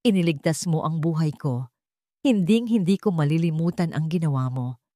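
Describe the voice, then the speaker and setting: A woman's voice: deep voice, expressive, moderate pace, warm tone, dry recording, studio quality. A woman with a Filipino accent voicing a stern, grave millionaire man in an audio story. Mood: solemn gratitude. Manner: firm, grave. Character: stern, commanding millionaire man, solemn and grateful.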